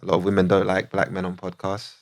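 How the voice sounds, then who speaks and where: A man's voice: low voice, fast talker. A man hosting a podcast, chatting casually and joking, then reading out a listener's letter. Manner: relaxed, jokey, laughs easily. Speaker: podcast host.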